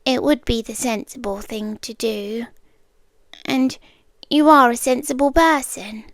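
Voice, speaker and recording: A British woman playing a calm, obsessive stalker girlfriend in an ASMR roleplay, speaking softly and deliberately close to the microphone, often drifting into whispers. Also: fairly high pitch, dry recording. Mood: firm, composed.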